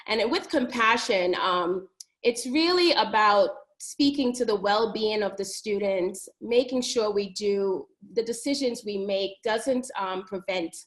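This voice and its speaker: A woman from New York, a high school principal, speaking in measured, earnest webinar remarks with frequent pauses over a video call. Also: poor audio.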